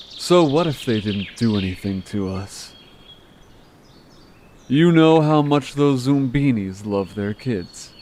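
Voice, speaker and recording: A man's voice: mid-range voice, close to an everyday voice. A man voicing a manipulative, vengeful monster gang leader in an audio drama, with a sarcastic, condescending drawl. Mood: mock aggrieved. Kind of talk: scripted audio drama acting. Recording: steady background noise with chirping birds. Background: birdsong, forest ambience.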